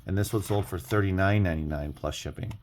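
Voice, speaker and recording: A man with a low, deep voice talks through his reselling tips in a casual vlog style. He rambles, often circling back to restate a phrase. A faint layer of noise sits in the recording. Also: fast, darkish tone. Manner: rambling, repeats and rephrases himself. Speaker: online reseller sharing sales tips. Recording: clearly audible background noise.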